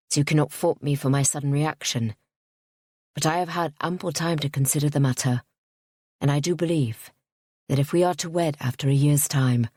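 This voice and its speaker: A woman voicing a pompous, obsessive gentleman suitor in a storybook reading, speaking in a haughty, clipped way. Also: fairly high pitch, no echo, clean studio sound, close to an everyday voice. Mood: stiff, condescending.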